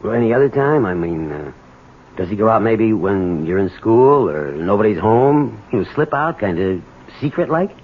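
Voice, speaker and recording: A man's voice: on the low side, sing-song. Desperate stammering man, wildly swinging intonation. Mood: guarded probing. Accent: American. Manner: stammering, halting, pressing. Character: desperate, bitter working man, resentful son and father. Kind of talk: 1970s radio drama acting. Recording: muffled, noisy 1970s radio recording.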